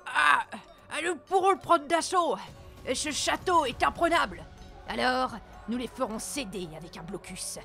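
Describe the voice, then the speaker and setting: A woman's voice: high voice, animated, measured pace, creaky, pushed and bright, soft background music, voice pushed up, full character voice. A woman voicing a ruthless, commanding Norman warlord who gloats menacingly in a dramatized folk legend. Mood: gloating menace.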